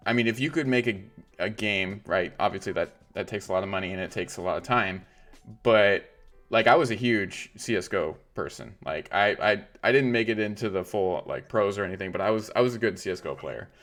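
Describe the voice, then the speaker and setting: A man's voice: lowish voice, fairly quick, fairly bright tone, faint music behind. A man hosting a casual livestream interview, rambling and saying "like" a lot. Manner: rambling, heavy use of "like".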